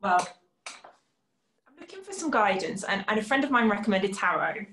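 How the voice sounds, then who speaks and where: A woman's voice: mid-range voice, sing-song, normal speed, a bit bright, slight background noise. Woman playing an awkward client in echoey room. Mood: apologetic, unsure. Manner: hesitant, apologetic. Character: polite, hesitant woman client, awkward and unsure. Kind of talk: staged comic scene acting.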